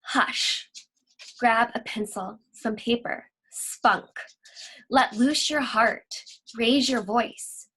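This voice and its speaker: High-pitched woman teacher, unhurried pace. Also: a bit bright, husky, low-quality recording. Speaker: school library teacher. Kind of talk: lesson for children, with poem read-alouds.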